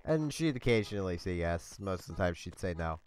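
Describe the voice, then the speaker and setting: A man's voice: sits low, animated, brisk. A congested man hosting a let's play from home, rambling through casual commentary with lots of ums and small laughs.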